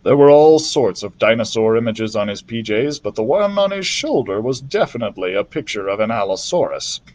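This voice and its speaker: A man reads a children's story aloud in a friendly, chatty way. His voice moves with lively rises and falls at a middle pitch. It comes through a built-in laptop mic. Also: a little fast. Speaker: librarian storyteller.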